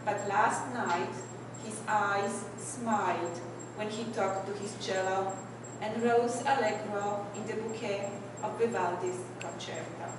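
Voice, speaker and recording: A woman with a very soft voice, reading her poems slowly and hesitating between her remarks, recorded without a microphone. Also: medium pitch. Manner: hesitant, halting between asides. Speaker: poet reading her work. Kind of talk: poetry reading with asides. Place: event venue, indoors. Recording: echoey room from a distance, loud background noise.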